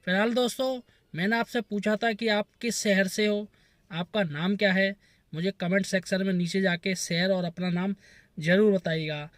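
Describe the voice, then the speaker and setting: A man's voice: sits high, fairly even intonation, normal speed, no room sound. A man hosting a channel, earnestly addressing viewers with advice in a moralizing outro style. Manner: earnest, advising. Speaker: channel host.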